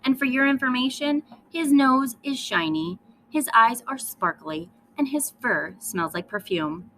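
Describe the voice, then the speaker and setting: A woman's voice: high voice, lively intonation, measured pace, a bit bright, a little hiss or hum, pitched above the performer's own voice, poor audio. A woman voicing a bossy, jealous little girl mouse in a children's storybook, with theatrical, indignant delivery. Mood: proud defiant. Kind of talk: children's storybook read-aloud.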